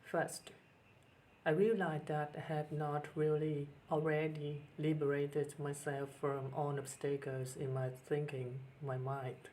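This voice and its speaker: A middle-aged man with a Vietnamese accent, speaking earnestly to camera as a teacher with many pauses and restarts. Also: fairly high pitch, little pitch movement, a little mellow, a little hiss or hum, poor audio. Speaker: teacher and channel host. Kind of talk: personal talk to camera.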